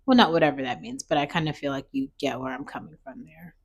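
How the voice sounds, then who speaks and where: A woman's voice: low voice, quick pace, slightly rough. A woman with an American accent giving casual, opinionated commentary in a rambling, conversational way, as a vlogger talking off the cuff.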